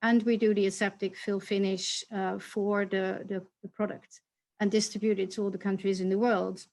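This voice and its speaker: Measured woman executive presenting. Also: mid-range voice, level tone, a little mellow. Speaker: company executive. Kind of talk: webinar presentation.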